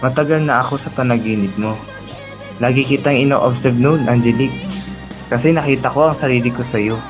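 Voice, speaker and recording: A man with a Filipino accent playing a gentle, lovelorn young man who speaks earnestly and tenderly in a romantic radio drama. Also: medium pitch, fairly quick, close to an everyday voice. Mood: loving confession. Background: music.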